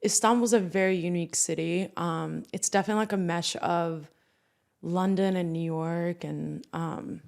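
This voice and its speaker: Woman from Turkey, lowish voice, calm guest chat. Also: normal speed, creaky. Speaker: podcast guest. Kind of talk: casual chat.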